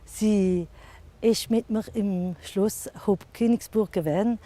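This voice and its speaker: A woman's voice: medium pitch, slow, warm tone. A middle-aged woman with an Alsatian accent, a storyteller whose first language is Alsatian, talking about her work and telling a fairy tale in a lilting way. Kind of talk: storytelling and interview.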